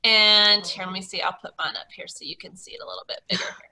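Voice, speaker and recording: A woman's voice: mid-range voice, fast talker, pushed and bright, low-quality recording. A woman hosting an online teacher meetup over a video call, talking in a chatty, rambling way with many fillers and restarts. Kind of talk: casual video call chat.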